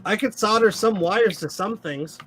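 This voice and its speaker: A man's voice: high voice, normal speed, a bit bright. A man chatting casually, dropping wry, playful quips. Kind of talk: casual chat. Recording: faint noise, room ambience.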